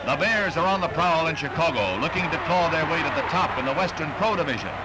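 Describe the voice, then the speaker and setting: A man's voice: fairly high pitch, animated, fast, over a noisy crowd, heavy background noise. An American man reading scripted newsreel narration in a formal, declamatory announcer style on a 1960s film soundtrack.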